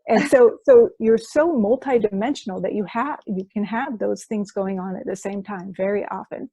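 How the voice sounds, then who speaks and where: A woman's voice: medium pitch, normal speed, warm tone, slightly rough, no room sound, rough recording. A woman who works as a hypnosis practitioner, explaining her sessions as a guest in an interview, enthusiastic and often restarting her phrases.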